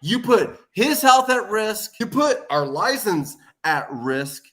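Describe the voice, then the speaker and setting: A man speaking as a guest wrestling manager in a loud, scolding rant. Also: sits high, sing-song, takes it slowly. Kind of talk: heated promo-style rant.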